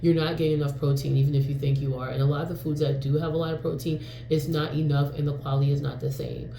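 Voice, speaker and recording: A woman in her forties from New York chats to camera in a rambling, candid vlog style, full of ums and the occasional curse. Her voice is mellow and relaxed. The room around her rings with echo, and a faint hum sits underneath. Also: low-pitched, fast, creaky. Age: 40s. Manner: rambling and frank, with frequent fillers and mild swearing. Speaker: vlogger. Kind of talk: casual talking-to-camera vlog.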